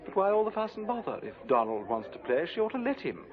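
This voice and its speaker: An actor playing an idle young socialite at a cocktail party, speaking in a languid, mocking way. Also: male voice, high-pitched, very animated, quick pace. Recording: muffled old radio recording with party chatter.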